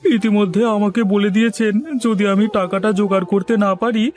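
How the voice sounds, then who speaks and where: Cartoon role: man playing a teary, timid servant, high-voiced, begging, faint music bed. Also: steady tone, brisk, a little mellow, lightly acted. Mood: pleading. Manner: pleading, whimpering, addressing master as babu. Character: timid tearful male servant, middle-aged. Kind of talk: Bengali cartoon voice acting.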